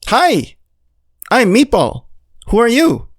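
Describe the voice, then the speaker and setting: An actor voicing an eager, wide-eyed, food-loving dog in an animated visual novel story. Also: medium pitch, slow pace, dry recording, studio quality, heavily acted. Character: Meatball, excitable, naive, friendly, food-loving male dog narrator.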